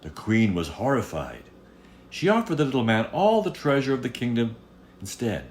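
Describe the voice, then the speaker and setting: A man telling a fairy tale aloud as an expressive storyteller. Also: mid-range voice, normal speed, a little hiss or hum.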